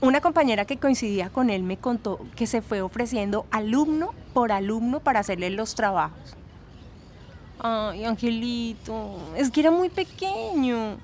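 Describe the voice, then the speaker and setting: A chatty, soft-hearted woman with a Latin American accent, played by an actress gives testimony in an audio drama. Her voice is fairly high, gossipy and expressive, with faint ambient noise behind. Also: natural voice.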